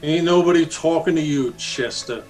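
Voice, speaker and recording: A man's voice: fairly high pitch, a little mellow, faint music behind, natural voice, low-quality recording. An American man playing a menacing, mocking cowboy bully in an old-time radio Western. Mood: sly, probing. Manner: mocking, slow-drawling taunts. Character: menacing cowboy bully, sneering ex-Confederate guerrilla.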